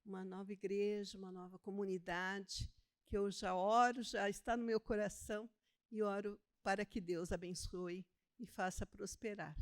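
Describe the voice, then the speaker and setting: An older woman from Brazil's Northeast, a theologian and author, speaking as a guest in a relaxed interview, self-deprecating and quick to laugh. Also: mid-range voice. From Northeast Brazil (Pernambuco). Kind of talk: conversational interview.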